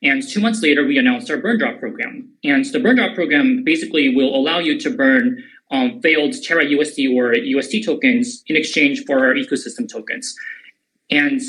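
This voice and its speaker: A man in his twenties talks through his project and past in a live audio interview. His voice sits at an ordinary pitch, and he often stumbles and repeats words as he begins his sentences. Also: a bit bright, rough recording. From Korea. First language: English. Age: 20s. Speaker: crypto founder guest.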